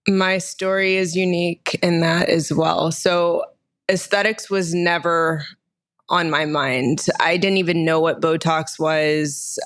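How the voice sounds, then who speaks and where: A woman in her thirties with a slightly low voice. She talks as a guest in a casual interview, telling personal stories in a chatty way. She is from Texas and laughs easily. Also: fairly even intonation, takes it slowly, fairly bright tone. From Austin, Texas, United States. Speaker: podcast guest, nurse injector.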